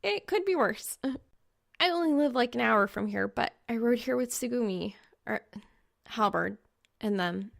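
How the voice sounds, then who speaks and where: A woman voicing a fiery, excitable young woman gamer in an audiobook, speaking in a dramatic, flustered, slangy way. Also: a little high, a little slow, vocal fry, pitched above the performer's own voice, full character voice. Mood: exasperated. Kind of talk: audiobook character dialogue.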